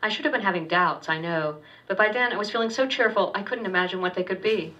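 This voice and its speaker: A woman's voice: mid-range voice, fast. Woman author reading fiction at a distance. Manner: steady, wry reading with slight stumbles. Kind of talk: literary reading of first-person fiction. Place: public reading at a university poetry center. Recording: distant dull lo-fi recording.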